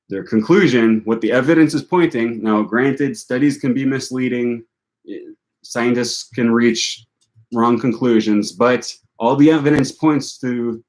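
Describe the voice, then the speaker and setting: A man's voice: medium pitch, darkish tone, poor audio. A young man from the United States with a northern US accent, hosting a language-learning channel and answering viewer questions in a rambling, self-correcting way with many fillers.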